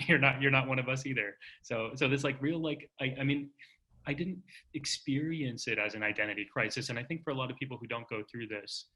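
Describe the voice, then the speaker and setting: A man's voice: medium pitch, fairly quick, pushed and bright, poor audio. An American man from Texas hosting a casual, reflective interview, often restarting phrases as he works out his thoughts. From Texas, United States. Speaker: interviewer, show host.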